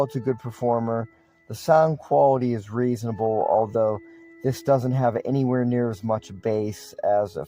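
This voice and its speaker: Male reviewer, low-pitched and mellow, casual and matter-of-fact, faint music behind. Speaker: product reviewer. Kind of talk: casual unscripted product review.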